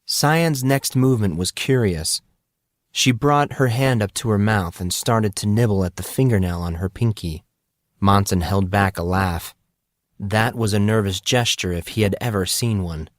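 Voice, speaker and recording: A man narrates a fantasy story in a fairly low voice. His delivery is scripted, measured and expressive. The sound is dry, with hardly any room on it. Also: no room sound.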